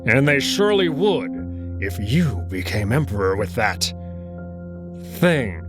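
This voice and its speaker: A man voicing a menacing, commanding alien wolf who speaks slowly with stern contempt, in a visual novel reading. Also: mid-range voice, very animated, over music. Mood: contemptuous. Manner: stern, slow, contemptuous, imperious.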